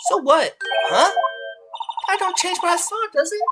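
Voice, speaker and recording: Woman acting brash female witness, folksy, swooping pitch, game dub over music. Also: animated, moderate pace. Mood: defiant. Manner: folksy Southern phrasing, indignant. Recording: music under the voice.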